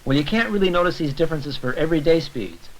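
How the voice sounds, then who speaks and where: A man's voice: a little high, lively intonation, quick pace, rough recording. A man giving scripted educational film narration, explaining things step by step and addressing the viewer directly.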